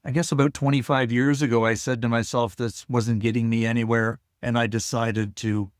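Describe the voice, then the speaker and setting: An older man, a guest advocate, telling his story in casual interview talk, halting and shy with frequent restarts. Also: mid-range voice, flat delivery, dry recording, studio quality.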